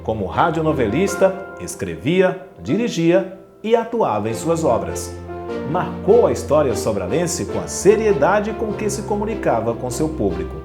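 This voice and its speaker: Brazilian male narrator, scripted documentary read, lively higher-set voice, guitar music behind, roomy echo. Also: moderate pace. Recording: reverberant voice-over over guitar music.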